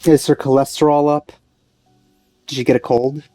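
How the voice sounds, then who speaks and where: A woman's voice: extremely low pitch, steady tone, moderate pace, mellow, no echo, faint music behind. A woman giving casual, offhand, joking commentary while playing a video game. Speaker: let's play host.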